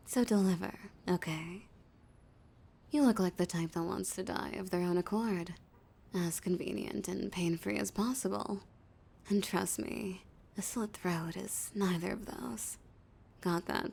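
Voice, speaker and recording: A woman playing a flirty, dominant villain in an intimate audio roleplay, teasing and menacing a hostage in a breathy, husky voice that slips into whispers. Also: on the low side, mellow, heavily acted.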